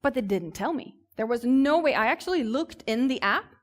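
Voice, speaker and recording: A woman from southern Sweden with a Swedish accent, presenting informally and conversationally as a conference speaker, with hesitant pauses. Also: high-pitched, animated. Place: conference stage.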